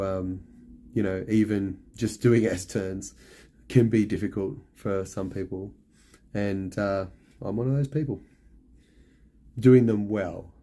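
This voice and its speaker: A middle-aged man with a hoarse, fading voice, chatting casually on a live stream and answering viewers' questions with lots of ums and you-knows. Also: low voice, warm tone. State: hoarse, losing his voice.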